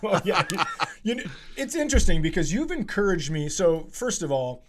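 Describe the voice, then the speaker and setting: A male comedian hosting a podcast in casual comic chat, riffing in an animated, profane, self-mocking way. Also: sits high, moderate pace, noisy background.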